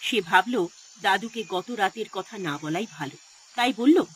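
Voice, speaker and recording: A woman's voice: mid-range voice, lively intonation, unhurried, slight background noise. A woman narrating a scripted horror story in a dramatic storytelling style. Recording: music behind the voice.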